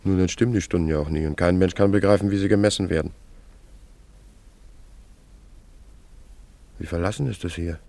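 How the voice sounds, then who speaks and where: A man's voice: sits low, very fast, creaky, dark tone, no room sound. An actor playing a carefree, teasing young wandering man in a German radio play, in an easygoing, bantering way. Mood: musing, carefree.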